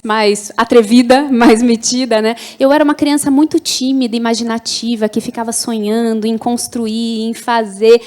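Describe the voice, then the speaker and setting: A woman panelist answering in a chatty way, with hesitant restarts. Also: high voice.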